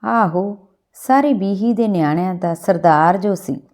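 A woman storyteller acts out a village mother from a Punjabi storybook, wistful and homely. Her voice is soft and mellow, with a Punjabi accent and a plaintive turn. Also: mid-range voice, unhurried, close to an everyday voice.

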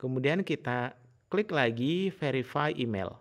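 A man's voice: medium pitch, animated, unhurried. A man presenting a casual step-by-step tutorial in an instructional manner.

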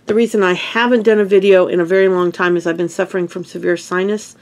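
Woman, sniffly and gravelly, casual home craft host, chatty and self-correcting. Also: lowish voice, fairly even intonation, fast. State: sniffly, stuffy nose. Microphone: camera over hands on table.

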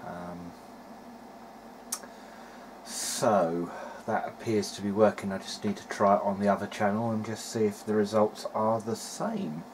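A man with a fairly low voice talks through a hands-on electronics demonstration in a casual way. He thinks aloud as he goes, somewhat hesitant between phrases. There is faint noise behind him. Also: level tone, a little slow. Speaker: hobbyist presenter of an electronics repair video diary. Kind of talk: casual technical narration while demonstrating.

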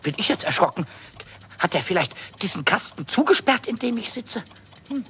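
A man playing a small, cheeky, impatient kobold in a children's radio play, squeaky and excitable, stammering and repeating his words. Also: moderate pace, a little hiss or hum, heavily acted. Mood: muttering, self-reassuring. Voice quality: squeaky, shrill.

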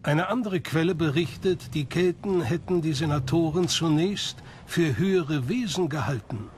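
A man's voice: on the high side, moderate pace, a little mellow, slight background noise. A man reading scripted documentary narration. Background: music.

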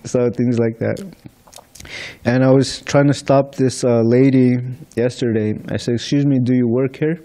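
A man's voice: mid-range voice, fairly even intonation, moderate pace, dark tone. A young man from the Philippines giving an informal scripture class in a temple hall, telling stories in a chatty way into a stand microphone. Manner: chatty, storytelling with light laughs. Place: large temple hall, indoors. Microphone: stand mic in front of seated speaker.

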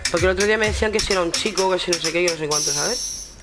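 A woman's voice: fairly low pitch, rapid-fire. A young woman with a Spain Spanish accent chatting casually and informally, with swearing, as she streams a video game. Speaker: gaming streamer. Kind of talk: casual chat while gaming. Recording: game music behind. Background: video game music.

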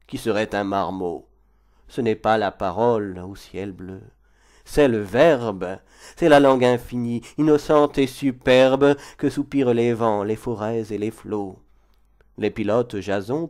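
A man reciting poetry in an expressive, declamatory literary style, with measured phrasing and dramatic pauses. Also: mid-range voice. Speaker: poetry reader.

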